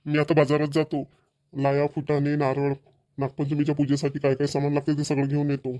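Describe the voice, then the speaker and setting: A man's voice: fairly high pitch, monotone, fairly quick, dark tone, dry recording, clean studio sound, lightly acted. A man voicing village sons and husbands in a cartoon comedy, with a rural Vidarbha Marathi accent. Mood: pleading. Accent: Maharashtrian, rural Vidarbha Marathi. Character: village men, dutiful sons and put-upon husbands.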